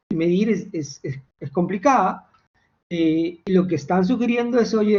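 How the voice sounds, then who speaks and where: A man's voice: high voice, a little mellow. A man teaching a finance class online, talking hesitantly with many fillers and restarts. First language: Spanish. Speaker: finance instructor. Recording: dull lo-fi call audio.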